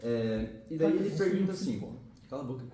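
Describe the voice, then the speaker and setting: A man's voice: mid-range voice, lively intonation, brisk, dark tone, recorded from a distance. A male biology teacher, giving a casual, slangy classroom lesson with occasional swearing and frequent check-ins with the students. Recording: echoey classroom picked up from afar, noisy.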